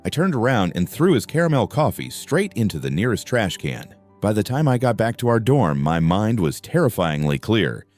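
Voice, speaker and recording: A man's voice: on the low side, sing-song, moderate pace, few pauses. A young man giving scripted first-person story narration in an introspective, wry manner. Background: soft music.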